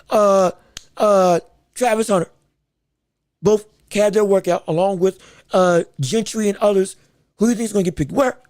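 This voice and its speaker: A man hosting his own sports podcast, talking with a scratchy voice, rambling excitedly with lots of fillers and restarts. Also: high voice, no room sound, clean studio sound. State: scratchy, strained voice. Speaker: podcast host. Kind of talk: solo sports and wrestling podcast chat.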